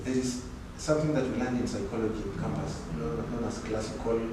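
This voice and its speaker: Halting man hosting a podcast. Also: mid-range voice. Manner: hesitant, repeats words. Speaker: podcast host. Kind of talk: podcast interview. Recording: echoey room, far from mic, noise.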